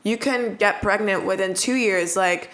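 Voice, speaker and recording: A woman's voice: medium pitch, a little slow. Mid-twenties woman co-host, frank and chatty, tells stories with quoted thoughts. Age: mid-20s. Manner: candid, animated storytelling with quoted inner thoughts. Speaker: podcast co-host. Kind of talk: casual advice chat.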